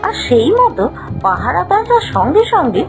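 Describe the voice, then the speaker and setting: A woman's voice: sits high, wildly swooping pitch, normal speed, music in the background. A woman narrating a scripted children's moral story with a dramatic storytelling delivery.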